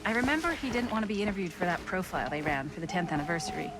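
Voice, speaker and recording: A woman's voice: fairly low pitch, sing-song, very fast. Sensible young American woman, music behind. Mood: curious. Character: sensible, curious young woman in a friend group. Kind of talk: naturalistic video game cutscene acting.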